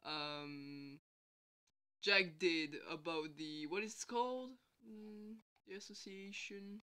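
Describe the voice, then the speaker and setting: A vlogger with a bad cold talks slowly and unhurriedly, in a bright, clear voice. The speech rambles, corrects itself mid-sentence, and breaks into frequent laughs. The recording is casual chat from home. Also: mid-range voice. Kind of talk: casual late-night vlog chat. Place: indoors at home.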